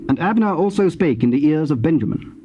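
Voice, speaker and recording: A man narrating scripture from a script in a measured, formal storytelling manner. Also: a little high, animated, dark tone. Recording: noisy old cassette tape transfer.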